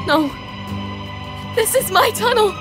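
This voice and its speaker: Woman acting a slow, high-voiced frightened young woman. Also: animated, full character voice. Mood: fearful. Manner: trembling, hesitant, dread-filled. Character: frightened young woman obsessed with her tunnel. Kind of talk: horror manga dub acting. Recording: loud background music.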